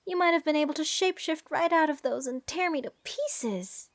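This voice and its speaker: A woman's voice: sits high, moderate pace. A woman playing a giddy, unhinged mage who gushes over cursed monsters in a fantasy ASMR roleplay. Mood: gushing, fascinated. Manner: breathy gasps, gushing, rambling, sweetly menacing.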